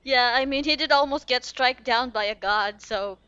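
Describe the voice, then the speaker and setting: A woman casually reacting to a video game and reading aloud, expressive and jumping from quiet to loud. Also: high voice, normal speed, clean tone, muffled recording. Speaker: streamer playing a video game. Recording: game music behind the voice.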